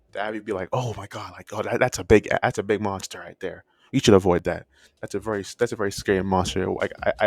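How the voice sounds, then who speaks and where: A man with a stutter giving casual, unscripted opinion commentary as a video creator, rambling and often rephrasing himself. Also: fairly low pitch. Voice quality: stuttering speech. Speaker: video creator reviewing horror animation.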